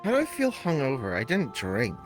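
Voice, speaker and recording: A woman's voice: rumbling low, huge pitch swings, fairly quick, soft background music. A woman in her twenties, a friend on the stream, talking in a teasing, playful way. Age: 20s.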